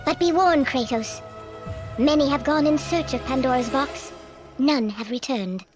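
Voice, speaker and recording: A woman's voice: high voice, unhurried, music in the background. A woman playing a stern, urgent temple oracle in a video game, speaking in a dramatic, portentous way. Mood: grave, warning.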